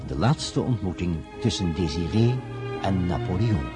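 Male announcer, low and solemn, radio play credits over loud music. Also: animated. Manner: slow, solemn.

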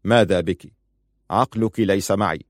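A man's voice: deep voice, moderate pace, dry recording, close to an everyday voice. Man acting a stern, proud husband, dry sound. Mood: probing. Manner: firm, curt, challenging. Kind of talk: audiobook character dialogue.

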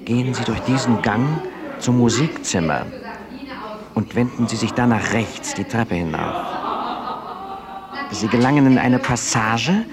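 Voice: medium pitch, animated, a little slow, long pauses, crowd noise. A performer playing a grave, observant accomplice giving directions in a 1970s German radio play. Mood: measured, instructing. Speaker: actor in a radio drama.

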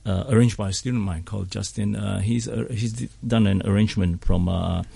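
Male radio guest, Hokkien native, low gravelly husky voice, halting with fillers, dry studio. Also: fairly even intonation, mellow, dry recording. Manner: hesitant, many fillers, chuckles often. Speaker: choir director and singer, studio guest. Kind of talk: casual radio interview.

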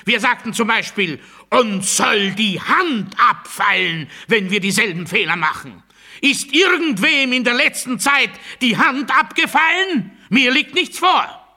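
An actor playing a menacing, self-righteous authoritarian orator in a satirical radio play monologue, declaiming pompously with emphatic rhetorical delivery. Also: male voice, extremely high pitch, animated, unhurried, sharp tone, heavily acted. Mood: fervent, defiant.

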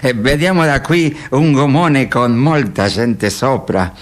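A man playing a hesitant, bewildered narrator of a sea journey in a poetic radio drama, halting between phrases. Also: mid-range voice, natural voice. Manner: hesitant, halting, starting with interjections. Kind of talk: poetic radio theatre acting.

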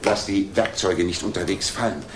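An actor playing a confident, methodical Victorian detective in a 1960s German radio play, reasoning in a deliberate, commanding way. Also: male voice, sits low, close to an everyday voice. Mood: cautioning, brisk. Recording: noisy 1960s radio drama recording.

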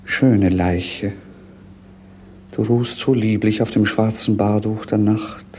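A melancholy young prince of a vintage radio drama, low in pitch and given to lyrical declamation. He is dreamy and theatrical, on an old noisy recording. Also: fairly even intonation, slow, dark tone. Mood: rapturous. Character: young romantic prince, melancholy, rapturous, world-weary dreamer. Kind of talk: 1950s German radio play acting.

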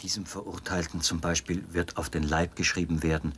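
An actor playing a zealous young penal colony officer and judge in a German radio drama, explaining in precise, formal standard German with a husky voice. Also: male voice, sits low, level tone, brisk, very noisy. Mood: cold, self-assured.

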